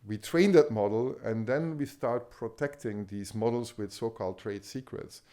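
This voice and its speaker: Middle-aged Belgian-born man, conference speaker, low mellow voice, thoughtful with lots of ums and restarts. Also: normal speed. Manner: thoughtful, many filler sounds and restarts. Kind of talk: conference talk.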